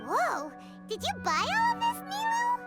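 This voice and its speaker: A woman voicing a tiny, childlike fairy companion in a video game, squeaky, exclamatory and cheerful. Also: huge pitch swings, unhurried, loud music behind the voice, full character voice. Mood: indignant, amazed. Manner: exclamatory, refers to self in third person. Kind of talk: animated video game voice acting.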